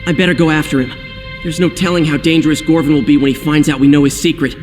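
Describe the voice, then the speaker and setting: A man playing an eager, determined teenage boy hero in a video game cutscene, animated and earnest. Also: on the high side, fast, lightly acted. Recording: hall echo, loud music behind.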